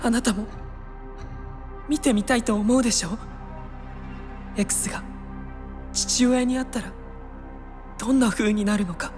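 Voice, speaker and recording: A woman playing a gentle young male singer in an audio drama, speaking softly and hesitantly with earnest pleading. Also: a little high, unhurried, long pauses, faint music behind, lightly acted.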